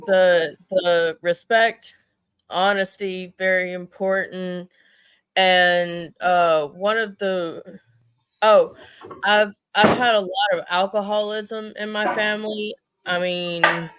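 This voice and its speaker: A woman's voice: lowish voice, a bit bright, clean tone. A woman chatting casually as a talk show panelist, blunt and swearing freely.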